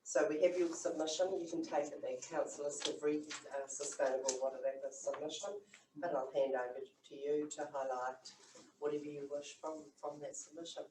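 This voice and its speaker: A mayor with a New Zealand accent chairing a council hearing, explaining the procedure in a measured way. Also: mid-range voice, steady tone. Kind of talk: formal meeting chair. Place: council chamber. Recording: echoey room, distant mic, noisy.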